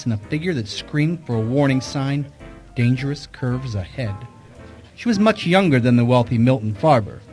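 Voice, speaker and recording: A man playing an observant hard-boiled private investigator who narrates his case in a wry, measured radio mystery style. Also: mid-range voice, a little mellow, lightly acted. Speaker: narrator and detective. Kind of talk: radio mystery drama acting with first-person narration.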